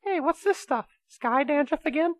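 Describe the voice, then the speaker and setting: A woman acts out a wicked little immortal kitty in an amateur radio comedy. The voice sits high and leaps around, brash and excitable, with a childish whine and a scatterbrained air. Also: normal speed. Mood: mischievous, casual.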